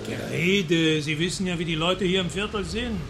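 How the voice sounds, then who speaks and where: A man's voice: high voice, normal speed, over music. An actor playing a jovial, evasive antiques dealer in his seventies, politely dismissive, in a German radio crime drama. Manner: smooth, dismissive, guarded politeness. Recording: clear outdoor street and traffic noise. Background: city street with vehicles.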